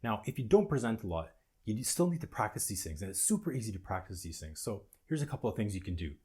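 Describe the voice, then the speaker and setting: A man with a low, husky voice speaks as a presentation coach hosting an instructional video. He talks in short, emphatic phrases and sets off key words with deliberate pauses. The tone has a rough grain and sits deep in the male range. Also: big pitch swings, a little mellow. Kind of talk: instructional talk to camera.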